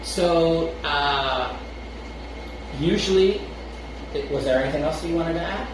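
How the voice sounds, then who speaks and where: A man's voice: on the high side, slow pace, distant mic. Echoey Brooklyn man talking off-mic. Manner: rambling, restarts often, chuckles between thoughts. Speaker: podcast co-host. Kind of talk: casual chat. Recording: very echoey room, off-mic, noisy.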